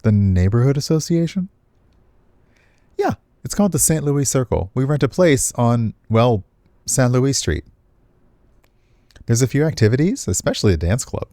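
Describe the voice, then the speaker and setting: A man's voice: mid-range voice, very animated, moderate pace, pauses often, warm tone, no room sound, clean studio sound, pitched above the performer's own voice. A man voicing an easygoing, frank male restaurant worker in a visual novel. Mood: chatty.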